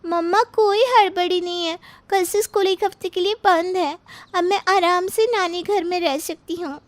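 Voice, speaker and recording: A sweet little schoolgirl in an animated family story, with a very high voice. She is cheerful, childlike and polite. The sound is dry. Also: moderate pace, no room sound. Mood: cheerful, wheedling.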